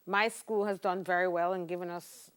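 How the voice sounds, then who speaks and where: A woman's voice: lowish voice, steady tone, moderate pace, clean tone. A Jamaican woman hosting a morning show, asking questions in a chatty, direct way. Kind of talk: conversational interviewing.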